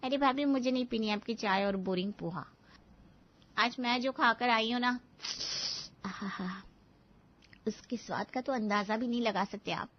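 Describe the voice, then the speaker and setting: A woman's voice: a little high, measured pace, long pauses, dull audio, a little hiss or hum, close to an everyday voice. A woman voicing a cheeky, spoiled college-age sister-in-law in a cartoon family story, dismissive and gushing by turns. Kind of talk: animated family story acting.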